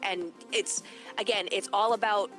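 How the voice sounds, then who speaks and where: A woman's voice: medium pitch, fairly even intonation, unhurried, music in the background. A woman in a casual livestream discussion, explaining her analysis earnestly and at length.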